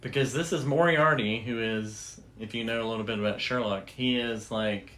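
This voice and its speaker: A woman's voice: extremely low pitch, moderate pace, a bit bright, low-quality recording. A woman hosting a show, explaining things in casual, conversational chat. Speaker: host. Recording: faint background noise.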